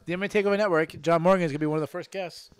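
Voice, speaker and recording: A man's voice: fairly high pitch, steady tone, rapid-fire, smooth, dry recording. A man hosting a casual live podcast, joking around and making mock announcements. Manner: joking, hype-announcer delivery. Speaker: podcast host.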